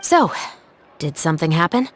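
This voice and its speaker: A woman voicing a by-the-book patrol captain in a video game, dry, measured and gently teasing. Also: low-pitched, big pitch swings. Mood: wry, collected. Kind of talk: video game character acting.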